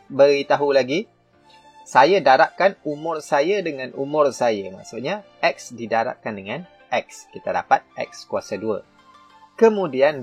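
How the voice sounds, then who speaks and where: A man's voice: medium pitch, slightly rough. A man with a Malaysian Malay accent teaching maths, working through textbook examples step by step with fillers and self-corrections. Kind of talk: explaining a textbook lesson.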